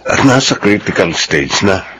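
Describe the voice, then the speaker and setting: A self-assured doctor who gives news in a grave, measured way. His low voice moves a lot in pitch. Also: very animated, a bit bright, a little hiss or hum, heavily acted, low-quality recording. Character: grave, sure-of-himself male doctor. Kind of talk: radio drama acting.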